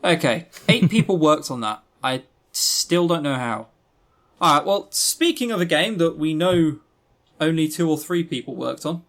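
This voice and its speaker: A man co-hosting a podcast in casual chat, with a sarcastic, sweary, animated way of talking. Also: on the high side, normal speed. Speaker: podcast co-host. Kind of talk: casual chat reviewing visual novels.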